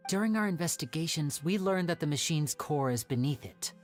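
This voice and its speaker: An actor plays a smooth-talking young male magician from an anime game. He is polite, articulate and earnest, speaking in a measured way over soft music. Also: on the high side, expressive, natural voice. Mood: explaining. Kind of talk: anime game dialogue acting.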